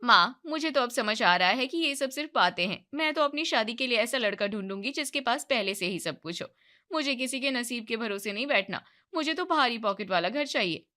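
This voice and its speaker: A woman's voice: on the high side, animated, brisk, pushed and bright. A woman voicing a blunt, sarcastic, money-hungry young daughter in a moral-story cartoon. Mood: scornful, insistent. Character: sharp, greedy, money-minded young daughter, scornful and insistent.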